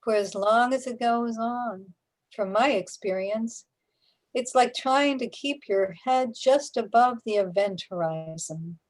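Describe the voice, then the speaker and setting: A woman's voice: fairly high pitch, slow, rough recording. A woman playing a wry, teasing companion in cosmic limbo for a sci-fi radio drama.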